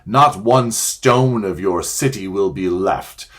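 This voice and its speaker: Male reader as a haughty witch queen; loud, menacing, grand, with a husky tone. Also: low-pitched, slow, full character voice. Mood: gloating fury. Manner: loud, threatening, declaiming. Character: proud cruel witch queen, furious and gloating.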